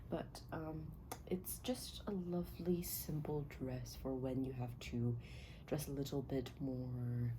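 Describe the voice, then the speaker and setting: Casting: woman, low register, soft breathy ASMR delivery drifting into whisper, polite and unhurried personal stylist character; noticeably noisy recording. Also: fairly even intonation. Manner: gentle, polite, attentive, unhurried. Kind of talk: soft-spoken ASMR roleplay.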